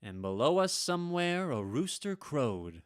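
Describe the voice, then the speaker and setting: Man acting animated, wry immortal radio storyteller. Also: a little high, a little slow. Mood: wry, reflective. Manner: expressive, dramatic, wry storytelling. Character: centuries-old Salem man, wry storyteller, easily flustered. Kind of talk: vintage radio drama narration and acting.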